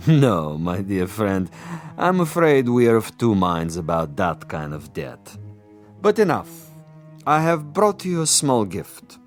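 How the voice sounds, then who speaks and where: Suave, deep-voiced menacing commissar. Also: male voice, sing-song, takes it slowly, soft background music. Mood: smug, urbane. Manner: urbane, mocking, elaborately formal diction. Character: suave, menacing male commissar interrogating a former prisoner. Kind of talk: dramatic radio drama acting.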